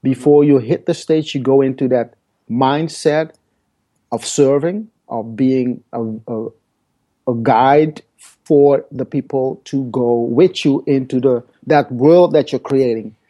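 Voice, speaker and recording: Dutch-native man with a mellow voice. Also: mid-range voice, slow pace. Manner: hesitant, repeats words while searching for phrasing. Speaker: guest speaker. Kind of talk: podcast interview.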